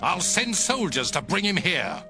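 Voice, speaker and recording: A man's voice: high-pitched, sing-song, measured pace, creaky, heavily acted. An actor playing a furious, suspicious king giving commands in a dramatized Bible reading. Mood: angry, commanding. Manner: commanding, angry. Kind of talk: dramatized Bible audio acting. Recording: music behind the voice.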